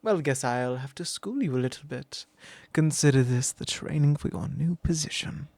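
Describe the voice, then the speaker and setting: A man's voice: mid-range voice, moderate pace, creaky, a little mellow, no echo. A man voicing an arrogant, possessive immortal vampire in an ASMR roleplay, talking down in a drawl and sometimes whispering close to the mic. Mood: mocking.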